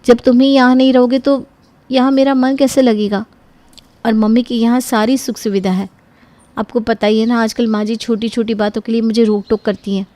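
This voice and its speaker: A woman plays a pampered young married daughter in an animated family story. Her voice sits a little high and she explains her grievances at length. The sound is dry, with no room on it. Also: moderate pace, no room sound, natural voice. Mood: pleading, reasoning. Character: young married daughter from a wealthy home, aggrieved and entitled. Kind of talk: animated Hindi moral story acting.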